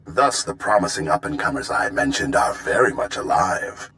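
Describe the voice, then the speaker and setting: A man's voice: fairly low pitch, expressive, moderate pace, creaky, sharp tone, exaggerated voice. A man voicing a smug, gloating bounty hunter villain in a video game, theatrical and articulate. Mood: coolly menacing.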